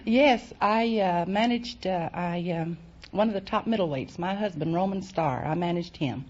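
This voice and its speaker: A woman's voice: lowish voice, moderate pace, a little mellow, dull audio, a little hiss or hum. A woman answering questions as a quiz show contestant on an old radio recording.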